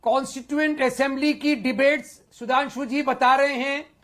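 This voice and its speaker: A man arguing forcefully and accusingly as a panelist in a heated TV debate. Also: very high-pitched, steady tone, moderate pace.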